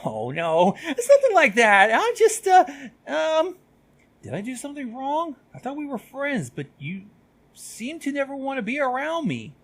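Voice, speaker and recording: Man putting on a high, jumpy schoolgirl creature. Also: huge pitch swings, moderate pace, hardly any pauses, a little mellow, slightly rough, full character voice. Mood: flustered. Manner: stammering, nervous, blurting. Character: jumpy, flustered young female student creature with hooves.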